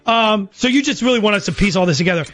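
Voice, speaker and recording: A man's voice: high-pitched, expressive, quick pace, fairly bright tone, soft background music. A man hosting a radio phone segment with casual, informal banter, often repeating himself as he goes. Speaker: radio show host.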